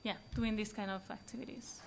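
A woman's voice: mid-range voice, steady tone, brisk, gravelly, a little mellow, a little hiss or hum. A woman in a conference audience, agreeably adding an example to the discussion. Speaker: audience member. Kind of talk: discussion after a talk.

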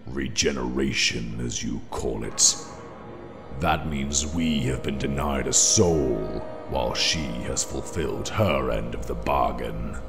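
Super deep slow demon of hell, grave and formal, gravelly, audio drama, music behind. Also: male voice, full character voice. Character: solemn, menacing male demon priest of hell. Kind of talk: fan audio drama acting. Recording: long cavernous reverb, music behind.

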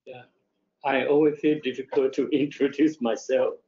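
A man's voice: medium pitch, steady tone, normal speed, mellow. An older man from Malaysia introducing himself on a video call, hesitant and self-deprecating, with small laughs. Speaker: panelist, interpreter. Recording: lo-fi echoey video call audio.

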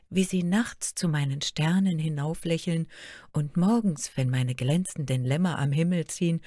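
A woman's voice: deep voice, warm tone, no room sound, studio quality. A woman voicing a regal, motherly queen of fantasy, stately and soothing.

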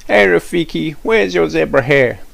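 A man's voice: a little high, expressive, moderate pace, gravelly, fairly bright tone, no room sound, voice pushed up, exaggerated voice. A man voicing a cocky, teasing schoolboy in sing-song rhyme for a children's storybook. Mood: taunting. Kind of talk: children's storybook read-aloud.

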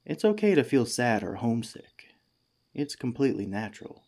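A man playing a kindly, patient head teacher in a gentle, soothing ASMR comfort roleplay. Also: medium pitch, big pitch swings, normal speed. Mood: tender, comforting.

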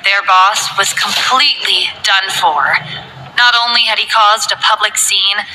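A woman narrating a romance novel as an audiobook in a dramatic, expressive way. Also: mid-range voice, a little slow, slight background noise, poor audio. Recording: faint background music.

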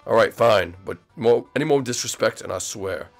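A short-tempered cartoon monster father, played by a man, grumbling and blunt. His creaky voice drops low as he makes his gruff threats. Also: expressive, normal speed, soft background music. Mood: grudging, threatening.

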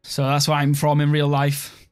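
Young guy streaming a strategy game, slightly high voice, chill and rambly, says like a lot. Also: moderate pace, clear, resonant tone, soft background music. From Wales. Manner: relaxed, rambling, heavy use of "like". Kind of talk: live-stream gameplay commentary, reading chat.

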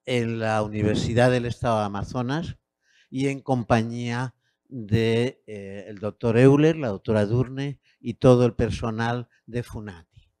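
Slow-spoken older Spanish man lecturing. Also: mid-range voice, a little mellow, no echo. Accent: Castilian Spanish. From Spain. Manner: slow, measured, with hesitant fillers. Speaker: guest lecturer. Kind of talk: academic lecture. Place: university auditorium. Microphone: handheld mic.

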